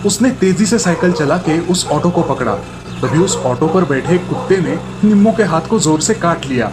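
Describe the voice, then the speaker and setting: A man narrates a scripted children's tale as the storyteller. His voice sits a little high for a man. Light music runs behind him. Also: lively intonation, normal speed.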